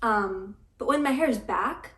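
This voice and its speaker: A young woman in her twenties talking in a casual vlog, dry and deadpan, griping with stammered restarts. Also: on the high side, unhurried. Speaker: vlogger. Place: apartment room. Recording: echoey room, mic at a distance.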